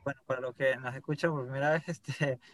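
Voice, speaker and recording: A man's voice: medium pitch, fairly even intonation, a little fast. Venezuelan man, hesitant guest. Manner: hesitant, many "este" fillers and repeats. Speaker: podcast guest. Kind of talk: casual interview chat.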